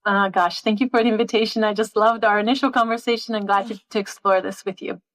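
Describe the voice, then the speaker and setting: A middle-aged woman who grew up in Switzerland and Italy speaks as a podcast guest. Her delivery is conversational, at a medium pitch, recorded dry in a home office with very little echo. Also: steady tone, fast talker, a bit bright. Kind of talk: casual interview conversation. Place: home office in a family room.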